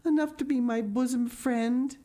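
A woman's voice: on the high side, fairly even intonation, moderate pace, mellow, pitched above the performer's own voice, close to an everyday voice. A woman reading aloud and voicing an eager, dreamy young girl who gushes and pleads breathlessly. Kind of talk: audiobook character voice.

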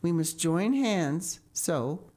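A woman's voice: on the low side, measured pace, darkish tone, voice pushed up, lightly acted. A woman reading aloud and voicing an eager, dreamy young girl who gushes and pleads breathlessly. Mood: solemn. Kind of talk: audiobook character voice.

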